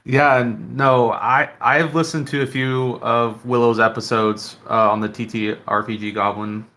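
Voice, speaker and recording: A man chats casually as a podcast host. His voice is bright and forceful at an average pitch, and he rambles along enthusiastically. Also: flat delivery, measured pace. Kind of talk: casual chat.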